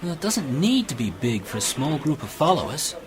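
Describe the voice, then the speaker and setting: A man's voice: a little high, big pitch swings, brisk, a crowd in the background. A man playing an eager, bookish friend full of lore in an amateur science fiction radio play, explaining and musing as he goes. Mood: musing, explanatory.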